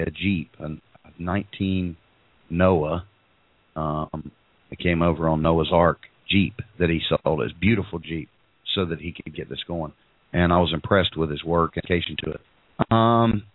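A man's voice: deep voice, no echo. A middle-aged man hosting a podcast, sounding congested and froggy, rambling through announcements with many ums and restarts. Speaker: podcast host. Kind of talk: casual show announcements. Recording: tinny phone-like line.

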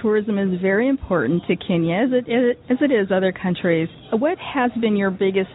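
A woman's voice: mid-range voice, moderate pace. Woman host asking questions over phone-like audio. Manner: asks long, searching questions with some hesitations. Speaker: radio host. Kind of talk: interview questions. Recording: phone-like narrow band.

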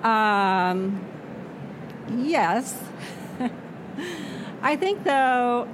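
A woman whose first language is Swedish, an author answering interview questions in a reflective way that often trails off softly. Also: medium pitch. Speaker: author guest. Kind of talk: interview conversation. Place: noisy spot near traffic. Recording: background traffic noise.

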